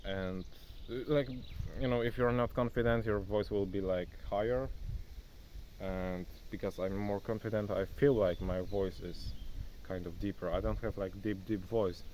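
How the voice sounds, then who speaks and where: A man's voice: on the low side, steady tone, moderate pace, darkish tone, slight background noise, poor audio. A young man who is not a native English speaker, vlogging casually outdoors into his phone, chatty and loose, calling the viewer "bro.". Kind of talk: casual self-recorded vlog talk. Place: outdoors, rural spot near a forest. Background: faint insects and outdoor nature sounds.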